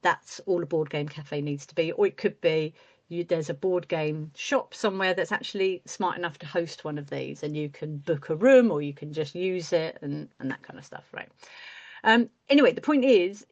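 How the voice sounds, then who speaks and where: A woman's voice: lowish voice, brisk, highs cut off. A woman hosting a casual chat over a video call, talking in a friendly, chatty way with lots of fillers. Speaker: host.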